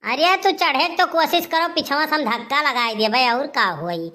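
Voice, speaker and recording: A woman's voice: sits high, moderate pace, pushed and bright. A woman playing a heavyset, crafty village elder sister in a comedy cartoon, chatty and coaxing, with an Awadhi accent.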